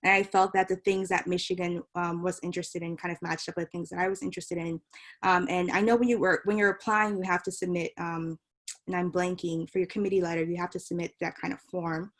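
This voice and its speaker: A woman's voice: lowish voice, flat delivery, fairly quick. A young woman in her twenties, born in Cameroon and raised in Maryland, a medical student telling her story in a chatty way over a video call. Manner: chatty, laughs easily. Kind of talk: casual storytelling.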